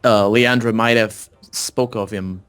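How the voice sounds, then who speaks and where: A man hosting a co-op let's play, chatting casually and reading dialogue options aloud in a halting, conversational way. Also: lowish voice, fairly even intonation, a bit bright, clean tone, faint music behind.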